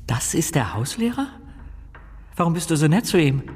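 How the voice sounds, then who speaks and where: An actor playing a polite young fiancé who investigates in a German radio crime drama, asking questions gently and a little hesitantly. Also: male voice, fairly high pitch, sing-song, moderate pace. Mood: curious.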